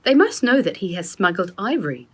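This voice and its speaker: A woman's voice: mid-range voice, sing-song, a little fast, breathy edge, voice pushed up. A woman playing a nervous young girl in a children's storybook, questioning with feigned innocence. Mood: worried.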